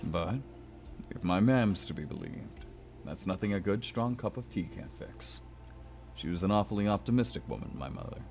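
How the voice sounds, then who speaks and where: A man voicing a kindly, wistful older schoolteacher, deep and wordy, in a visual novel, with music behind. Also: expressive, moderate pace, gravelly, a little mellow. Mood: fatherly concern. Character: kindly, bemused older male former teacher, wistful widower.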